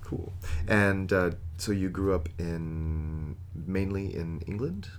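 Brief: male interviewer, very low voice, inquisitive, faint background noise. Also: expressive, slow pace. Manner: inquisitive, frequent murmured agreement. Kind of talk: casual interview. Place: outdoors.

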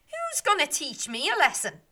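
A woman voicing a bold, greedy young girl who is brash and loud. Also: mid-range voice, expressive, normal speed. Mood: defiant. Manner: brash and shouting.

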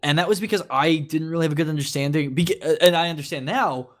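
A man with a voice a bit higher than average chats casually as a podcast co-host. He talks in a halting, conversational way, thinking aloud and restarting as he builds a point.

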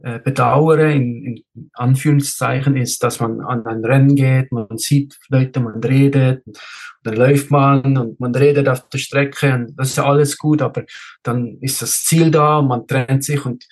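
A man from Switzerland speaks as a guest in a relaxed podcast conversation. He has a middle-pitched voice and tends to repeat words as he feels his way through a sentence, now and then slipping in English. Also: unhurried. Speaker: race director, interview guest. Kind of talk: casual podcast interview.

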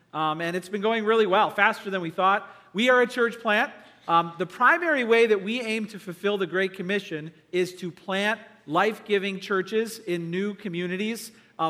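A man's voice: sits high, sharp tone. A male pastor giving church announcements, upbeat and enthusiastic, with many "um"s and "uh"s.

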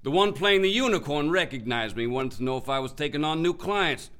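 A man's voice: a little high, lively intonation, brisk. An actor playing a brash, irate, blustering male showbiz agent in a satirical audio drama. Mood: indignant. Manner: loud, indignant, blustering.

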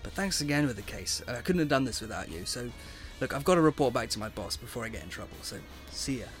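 Guy playing an evasive hurried coworker, middle pitch, casual brush-off, clear music behind, audio drama. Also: animated, natural voice. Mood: hurried, dismissive. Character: evasive, hurried male colleague. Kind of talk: naturalistic audio drama acting.

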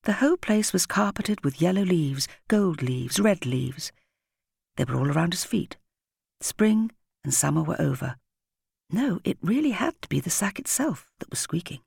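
A woman narrating a children's audiobook in an expressive, dramatic storytelling manner with varied emphasis. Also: fairly low pitch, moderate pace, gravelly, breathy edge, dry recording, clean studio sound.

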